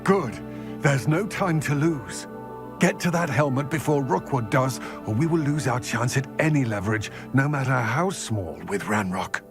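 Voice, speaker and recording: An actor voicing an aging, proud goblin in a video game, speaking in a formal, measured, eloquent way. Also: male voice, mid-range voice, lively intonation, over music, natural voice. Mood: urgent, bitter. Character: aging male goblin, solemn, proud, formal former fighter. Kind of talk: video game cutscene acting.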